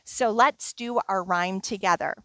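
A middle-aged woman leading children's storytime, speaking expressively and deliberately in simple phrases for young children. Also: mid-range voice, pushed and bright. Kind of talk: children's storytime reading and instruction.

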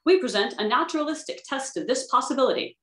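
A woman's voice: sits high, lively intonation, quick pace, a bit bright. A woman speaking formally as a reader of research papers, on roomy video-call audio. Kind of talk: formal reading aloud and panel questions. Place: indoor room on a video call, using a tablet.

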